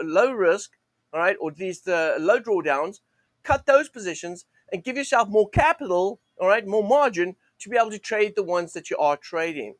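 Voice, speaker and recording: Croaky high-voiced man hosting a trading stream. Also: no echo. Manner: rambling, many fillers and restarts, "go ahead and". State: croaky, sore throat. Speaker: host of a live forex trading show. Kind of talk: live-stream teaching monologue.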